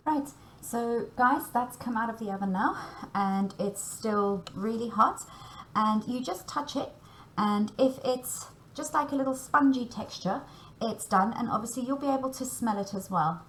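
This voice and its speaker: A woman's voice: on the high side, unhurried, poor audio. A woman giving a hands-on baking demonstration, talking learners through each step in a chatty, instructional way and often checking in. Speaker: cooking and baking teacher.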